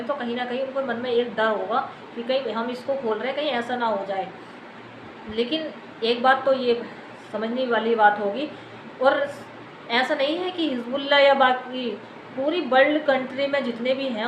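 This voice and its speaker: High-voiced Indian woman in an echoey, noisy room. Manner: explanatory, wordy, repetitive, addressing viewers. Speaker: YouTube news commentator. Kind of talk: unscripted news commentary. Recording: echoey room, clear background noise.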